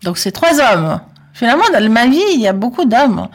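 A woman's voice: a little high, sing-song, normal speed. A middle-aged Italian woman with an Italian accent, answering interview questions in a talkative, reflective way with many hesitations. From Italy. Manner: talkative, reflective, with frequent hesitations and soft laughs. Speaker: interview guest. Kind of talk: personal conversational interview.

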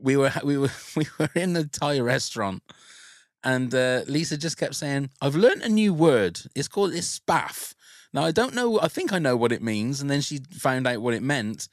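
A man's voice: medium pitch, expressive, normal speed, no echo, clean studio sound. A British man hosting a casual podcast, chatting in a jokey, freely sweary way. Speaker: podcast host.

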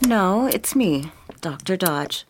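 A doctor in a period audio drama, measured and clinical as she questions. Her voice sits slightly low, with a faint noise under it. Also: expressive. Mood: calm, probing. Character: composed, probing woman doctor questioning a child patient.

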